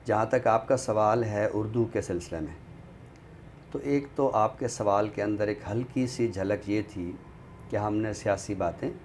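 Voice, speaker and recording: A man with a fairly low voice and a Pakistani accent gives measured commentary as an expert guest on a talk show. He explains things in a conversational way, with clear noise behind him. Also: a little mellow.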